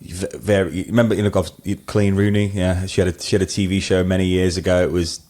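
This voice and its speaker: A man's voice: sits low, fairly even intonation, quick pace, a little mellow. A middle-aged man with a hybrid Scouse-Mancunian accent, chatting casually in an interview and telling anecdotes in a rambling, self-deprecating way. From northwest England, Wirral and Manchester. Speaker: podcast guest, wedding photographer.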